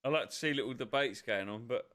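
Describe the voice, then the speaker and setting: A man's voice: medium pitch, fast talker, a bit bright. A man co-hosting a podcast, chatting casually and swearing freely with a laugh. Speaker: podcast co-host. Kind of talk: casual pub-style chat.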